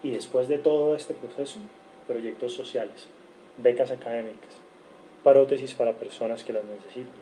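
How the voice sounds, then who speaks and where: A man's voice: medium pitch, fairly even intonation, normal speed, dark tone, slight background noise, low-quality recording. A man from Cali, Colombia, with a Colombian accent, giving an earnest online seminar lecture over a video call, listing figures and often correcting himself. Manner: earnest, lists figures, frequent self-corrections. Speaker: guest lecturer.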